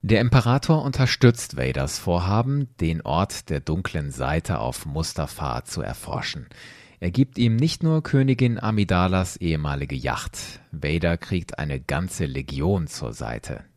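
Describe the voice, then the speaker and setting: Very deep-voiced, expressive male storyteller. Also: a little slow, no room sound, studio quality. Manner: expressive, addresses listeners directly. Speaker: host and storyteller. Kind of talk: dramatic storytelling narration.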